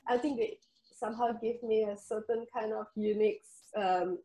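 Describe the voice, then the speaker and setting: Woman, Indonesian accent, young adult, thoughtful interview answers, warm dark tone, echoey video call. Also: on the high side. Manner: soft, thoughtful, frequent ums and laughs. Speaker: novelist guest. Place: indoors room at home. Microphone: headphones on video call.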